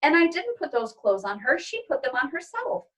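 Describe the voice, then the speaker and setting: A woman's voice: very animated, normal speed, far from the mic. A woman doing a pleading young girl. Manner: pleading, higher-pitched girlish voice. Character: young girl, earnest and tender-hearted.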